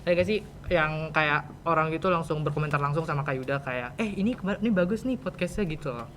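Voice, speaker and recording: A man's voice: on the high side, fast, a bit bright, slight background noise. A teenage male student with a Jakarta Indonesian accent, hosting a casual podcast interview in a chatty, colloquial way.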